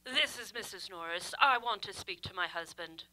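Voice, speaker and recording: A woman's voice: mid-range voice, expressive, moderate pace, sharp tone, rough recording. An actress playing a bossy, scolding married woman in an old-time radio comedy, speaking imperiously. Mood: imperious, indignant. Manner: imperious, insistent.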